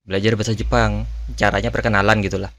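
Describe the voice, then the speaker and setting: Male host, lower register, informal and musing, for a relaxed question-and-answer chat. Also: fast talker. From Indonesia. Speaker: language channel host answering viewer questions. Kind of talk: casual Q&A chat.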